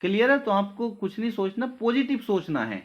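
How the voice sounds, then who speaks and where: Man, high-pitched, informal coaching talk, earnest, reassuring, frequent tag questions, dull lo-fi recording. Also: sing-song, fairly quick. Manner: repeatedly checks in with short tag questions. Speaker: online exam-coaching teacher. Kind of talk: informal address to students. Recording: dull, lo-fi audio.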